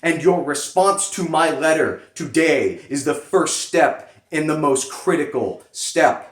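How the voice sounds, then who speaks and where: A man giving a solemn, theatrical dramatic reading with emphatic pauses. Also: fairly high pitch. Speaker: reader performing a letter. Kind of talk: dramatic reading of a political fundraising letter. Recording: echoey room.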